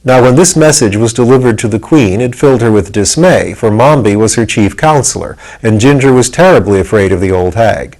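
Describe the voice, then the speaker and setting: A man's voice: on the low side, lively intonation, fairly quick, hardly any pauses, a little mellow, rough recording. A man reading aloud as the narrator of a storybook, in scripted narration. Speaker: narrator, audiobook reader.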